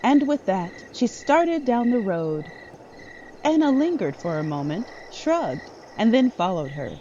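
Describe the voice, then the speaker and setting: A female narrator reads a horror story in a mellow voice with animated pitch. The audio is slightly dull, with crickets and frogs faintly behind. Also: unhurried, slight background noise. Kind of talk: scripted narration of horror drama. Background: night crickets and frogs.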